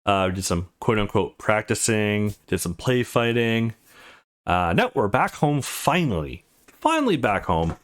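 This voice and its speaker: Man with very animated, swinging pitch. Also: measured pace. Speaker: let's play host. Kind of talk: casual let's play commentary on a visual novel.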